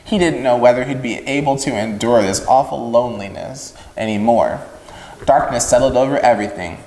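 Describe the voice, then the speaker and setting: A man reading a storybook aloud to children in an expressive narrating style. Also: medium pitch, normal speed. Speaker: children's story-time reader. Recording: echoey room with long reverb.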